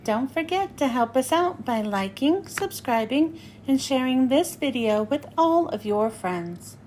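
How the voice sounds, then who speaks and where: A woman reads a children's picture book aloud in a high, bright-pitched voice. She tells the story slowly with a sing-song, expressive manner, her pitch swooping up and down on each line. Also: slight background noise.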